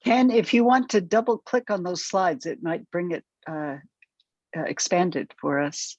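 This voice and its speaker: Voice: medium pitch, fairly even intonation, a little slow, darkish tone. A host moderating a video call with brief interjections. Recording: dull lo-fi sound.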